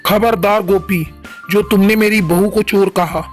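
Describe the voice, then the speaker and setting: An older mother-in-law in a cartoon story, acted out by a woman, stern and protective yet loving. Her voice sits at a middle pitch, with faint music behind it. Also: steady tone, a little slow, lightly acted. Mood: stern, defensive. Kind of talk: Hindi cartoon story dialogue.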